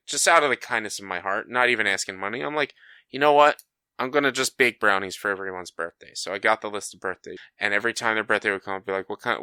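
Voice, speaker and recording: Bright, lively man in his thirties. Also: lowish voice, smooth, no echo. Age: 30s. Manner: animated storyteller, swears freely, acts out quotes. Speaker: podcast co-host. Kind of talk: casual chat.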